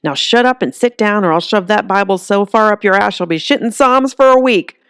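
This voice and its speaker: A woman's voice: medium pitch, fairly quick, fairly bright tone, clean tone, no echo, clean studio sound, pitched above the performer's own voice, lightly acted. A woman voicing a tough, bossy, foul-mouthed granny who barks folksy orders in an audiobook. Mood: threatening.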